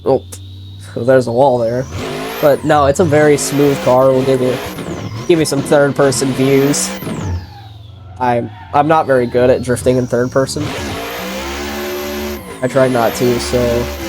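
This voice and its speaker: A man talks through a racing sim session in a loose, easygoing way, his voice blocked and stuffy from a sinus infection. He trails off, corrects himself and breaks in with quick exclamations as he drives. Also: mid-range voice, level tone, over music. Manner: rambling and easygoing, with self-corrections and sudden exclamations while driving. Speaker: sim racing video creator. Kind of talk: casual gameplay commentary.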